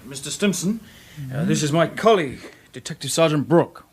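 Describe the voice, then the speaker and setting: An actor playing a police detective's colleague in a radio crime drama, matter-of-fact and dryly questioning. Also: male voice, on the high side, expressive, moderate pace, heavy background noise.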